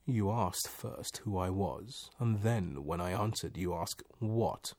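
Man voicing a wry, measured disembodied voice, husky. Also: low-pitched, darkish tone, heavily acted. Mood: patient, instructing. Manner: measured, mock-polite, unhelpfully stern. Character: calm, wry, teasing disembodied voice. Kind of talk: storybook character reading.

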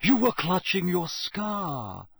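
A man's voice: high voice, wildly swooping pitch, slow, vocal fry, a bit bright, voice pushed up, heavily acted. A man voicing a dramatic, mystical woman professor in an audiobook, speaking in a theatrical, eager, grandiose way. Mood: eager insistence. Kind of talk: audiobook character voice.

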